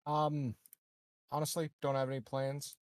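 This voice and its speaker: A man's voice: mid-range voice, level tone, measured pace, dry recording, studio quality. A man chatting casually as a player in a tabletop game.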